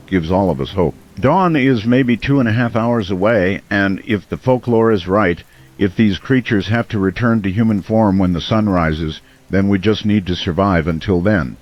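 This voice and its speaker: A man plays a small-town radio host broadcasting through disaster. His voice is fairly low, earnest and concerned, in a slightly dull radio-drama recording with rain behind. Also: natural voice.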